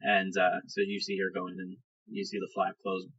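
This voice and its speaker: Role: game master. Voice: husky, bright man. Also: low-pitched, sing-song, dull audio. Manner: improvised, halting descriptive storytelling. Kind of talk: narrating a tabletop game.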